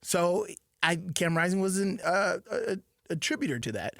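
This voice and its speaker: Fairly high-voiced ex-player co-host. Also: male voice, animated, vocal fry, fairly bright tone, no echo, clean studio sound. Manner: quick agreeing interjections, emphatic repeats. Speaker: former player turned radio co-host. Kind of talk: casual sports talk.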